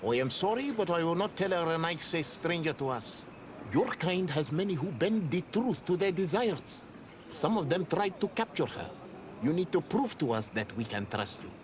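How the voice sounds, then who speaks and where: An actor playing a wary village acolyte in a video game, guarded, formal and earnest with outsiders. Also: male voice, fairly high pitch, lively intonation, moderate pace, crowd noise, natural voice. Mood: suspicious. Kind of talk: video game cutscene acting.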